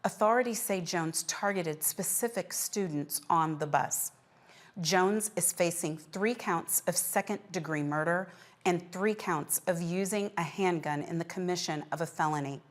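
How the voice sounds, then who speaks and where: A woman with a slightly low voice anchors a news program. She reads scripted copy in a measured, formal way, setting out each item in order.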